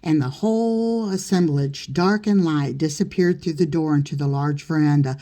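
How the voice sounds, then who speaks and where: A woman narrates a literary audiobook. Her voice sits low for a woman, with a dark, relaxed tone suited to reading prose aloud. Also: moderate pace. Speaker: audiobook reader.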